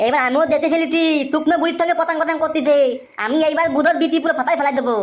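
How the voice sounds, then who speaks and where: A woman's voice: high voice, fairly even intonation, racing, close to an everyday voice. A woman voicing a vengeful, crude grown man in a comic cartoon, blustering and coarse.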